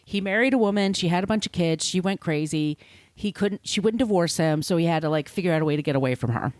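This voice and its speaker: Woman podcaster telling stories, slightly low voice. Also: quick pace, a little mellow, no echo. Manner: informal, explanatory, with asides. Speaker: podcast host. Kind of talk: conversational storytelling of literary history.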